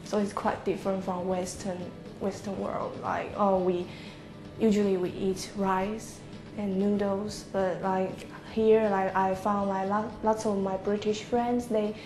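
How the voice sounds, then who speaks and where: A woman's voice: medium pitch, little pitch movement, measured pace, darkish tone, soft background music. A young woman from Taiwan, a first-year student, answering interview questions casually with many fillers. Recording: audible background noise.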